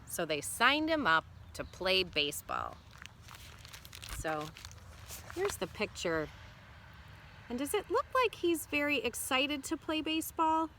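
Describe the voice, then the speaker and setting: A woman's voice: sits high, big pitch swings, slow pace, long pauses, pushed and bright, slight background noise. A woman teacher reading a picture book aloud to children expressively, with instructive asides and questions to check in.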